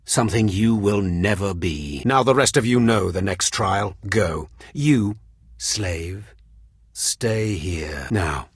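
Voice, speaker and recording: A cruel Sith overseer from a video game, speaks in a heavily rasping, low voice. He is imperious and sneering, treating listeners as worthless and lacing his words with menace. The sound is close and dry. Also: takes it slowly, no room sound. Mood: cold, menacing. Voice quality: raspy, husky. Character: cruel, contemptuous Sith overseer, a grown man. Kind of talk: scripted video game dialogue.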